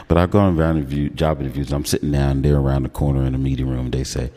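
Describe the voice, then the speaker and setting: An American man with an extremely low, deep voice and a mellow tone. He talks casually and gives his opinions as a radio host, with care to sound out his words. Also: fairly even intonation, very fast. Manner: enunciates words carefully. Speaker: radio talk show host.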